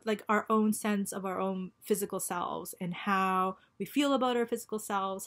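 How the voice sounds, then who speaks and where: A woman in her forties, born in Japan and raised in Canada, talking in a personal video diary. She speaks in a halting, reflective way, full of ums and restarts, while going through chemotherapy. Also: mid-range voice, fairly bright tone. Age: 40s. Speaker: vlogger.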